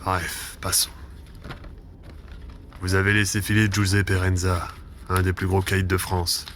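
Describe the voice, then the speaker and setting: A man's voice: low-pitched, flat delivery, measured pace, fairly bright tone, a little hiss or hum. A man playing a cold, scolding intelligence chief in a spy audio drama, speaking formally and curtly. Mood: stern, reproachful. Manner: formal, curt, reproachful.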